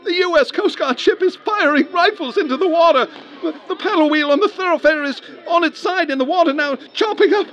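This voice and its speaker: An old-time radio newsman reporting a catastrophe as an eyewitness. His voice is very high and emotional, stumbling over words, over a faintly noisy background. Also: fairly quick, a bit bright, highs cut off. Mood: horrified, distraught. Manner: stammering, breathless, emotional. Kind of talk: 1930s radio live disaster commentary, acted.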